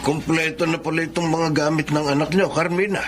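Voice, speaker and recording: A man with a Filipino accent playing a gruff but fond, nagging older father with a gravelly voice in a radio drama. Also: fairly high pitch, fairly even intonation, a little fast, loud music behind the voice, close to an everyday voice. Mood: gently scolding.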